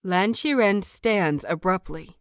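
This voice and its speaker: A woman reading a story as scripted dramatic narration, measured and deliberate, with dramatic pauses. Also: medium pitch, expressive, clean tone, no echo, clean studio sound. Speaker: audio fiction narrator.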